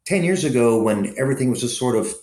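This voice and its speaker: A man's voice: mid-range voice, fast, reverberant. A man from Southern California, a restaurant operator and investor, talking through anecdotes in an interview with lots of fillers. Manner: anecdotal, many fillers, lively storytelling. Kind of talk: conversational interview answers.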